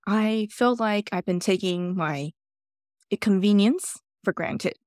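A woman from Taiwan, a podcast guest telling relaxed stories in loosely phrased English. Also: mid-range voice, slow, no room sound, clean studio sound. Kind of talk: casual interview storytelling.

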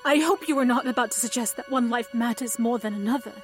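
A woman's voice: fairly high pitch, fast talker, faint music behind, natural voice. A woman playing an earnest, reproachful and compassionate young noblewoman in an audio drama, speaking formally and with righteous intensity. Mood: impassioned.

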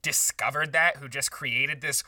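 Indignant, bright-voiced book podcaster. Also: mid-range voice, steady tone, no room sound, distant mic. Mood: scornful, stubborn. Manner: incredulous, sarcastic, halting, repeats words. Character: indignant, jealous book podcaster and aspiring writer. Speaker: podcast co-host. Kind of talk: improvised comedy podcast acting, conversational.

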